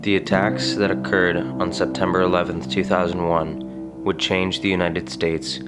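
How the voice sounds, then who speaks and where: A man reading documentary narration in a steady, formal way. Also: sits low, measured pace, over music. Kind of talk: scripted narration.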